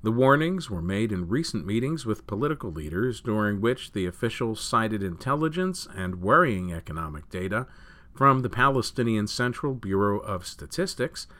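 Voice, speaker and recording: A man with a fairly low voice reading news articles aloud as a news reader. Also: sharp tone.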